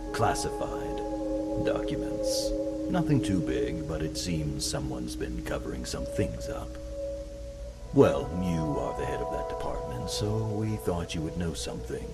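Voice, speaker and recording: A menacing senior scientist acted by a man speaks from very low in his range, with wide swings of intonation. He is slow and condescending, soothing on the surface as an interrogator in a horror series. Loud music plays under him. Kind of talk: horror web series acting.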